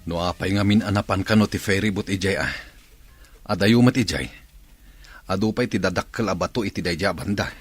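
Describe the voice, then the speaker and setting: A man's voice: deep voice, a little fast, long pauses, husky, no echo. A man with a Filipino accent playing a worried, questioning island man in a radio drama. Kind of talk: Ilocano radio drama acting.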